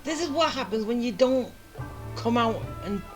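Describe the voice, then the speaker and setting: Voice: mid-range voice, expressive, normal speed. A game streamer giving casual, offhand commentary with muttered asides while playing. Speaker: game streamer playing through a story quest. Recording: game music behind the voice.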